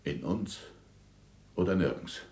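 A middle-aged scholar of the stars in a radio play. His voice is very low and badly husky, and it swings widely in pitch. He speaks with impatient passion and theatrical emphasis. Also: expressive, slow, full character voice. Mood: firm, subdued. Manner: emphatic stage diction, swinging from murmurs to shouts. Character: passionate, impatient middle-aged astronomer, hungry for proof and food. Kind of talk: 1960s German radio drama acting.

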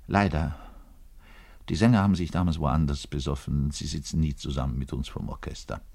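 A man playing a lonely, bitter, self-pitying middle-aged double bass player in a grandiloquent theatrical radio monologue. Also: steady tone, fairly quick, gravelly, a little mellow, full character voice. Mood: embarrassed, confiding. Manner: grandiloquent, swinging between outbursts and muttering. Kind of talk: theatrical monologue addressing the listener.

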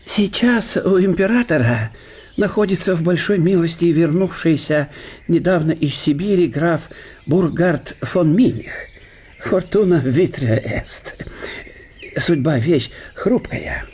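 An actor playing a cheerful, obliging German professor who chuckles and chats in an ingratiating way, with a husky voice, in a radio drama. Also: male voice, sits high, dark tone, full character voice.

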